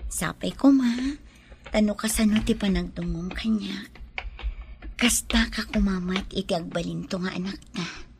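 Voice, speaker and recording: An actress playing a pregnant young wife who talks softly and often in a whisper to her husband and her mother-in-law, in a radio drama. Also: medium pitch, moderate pace, creaky, pauses often, noisy background, close to an everyday voice. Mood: loving.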